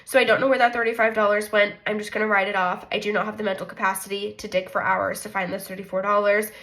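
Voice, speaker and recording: A woman's voice: mid-range voice, little pitch movement, quick pace, fairly bright tone. A young woman with a congested, slightly nasal voice, chatting casually and self-deprecatingly as she walks through her monthly budget. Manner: chatty, self-deprecating, thinks aloud with frequent likes. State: congested from a cold. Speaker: budgeting vlogger.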